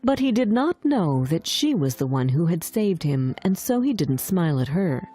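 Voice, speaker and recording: A woman's voice: on the low side, big pitch swings, normal speed, warm tone, breathy edge. A woman narrator reading a scripted children's fairy tale in a storytelling style.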